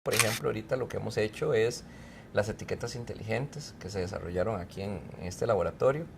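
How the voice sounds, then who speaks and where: A middle-aged man, a professor and researcher from Mexico who grew up in Costa Rica, answering interview questions in a relaxed, explanatory way with frequent fillers. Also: lowish voice, fast talker, creaky, noisy background. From Mexico, raised in Costa Rica. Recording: faint music behind.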